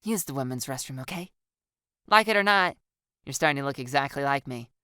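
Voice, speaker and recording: A woman voicing a playful, excitable young woman with a bubbly, teasing, theatrical manner in an audiobook story. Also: extremely low pitch, a little fast, clear, resonant tone, no room sound, clean studio sound, exaggerated voice. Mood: cheeky, coaxing. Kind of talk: audiobook character dialogue.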